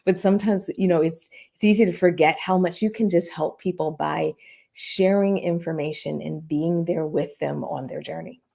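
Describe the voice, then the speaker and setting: A woman's voice: lowish voice, normal speed, vocal fry, warm tone. A woman hosting a podcast interview, chatting casually with many fillers. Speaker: podcast host.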